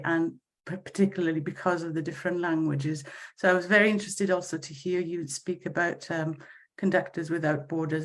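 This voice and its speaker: A woman from Wales with a Welsh accent, a choir conductor speaking in a casual online panel over a video call, halting and full of fillers and restarts. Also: on the low side. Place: home, eastern United States.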